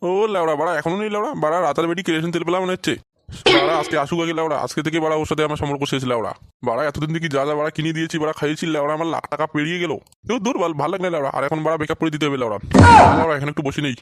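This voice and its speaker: A man's voice: fairly high pitch, rapid-fire, lightly acted. A man voicing a henpecked, grumbling old philandering husband in an amateur comic cartoon, repeating himself and cursing. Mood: irritated, grumbling. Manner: stammering, foul-mouthed, repeats himself.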